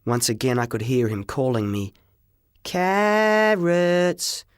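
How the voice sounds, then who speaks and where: A man narrating a story in the first person as an audiobook reader, with expressive, dramatic, deliberate phrasing. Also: medium pitch, no echo, clean studio sound.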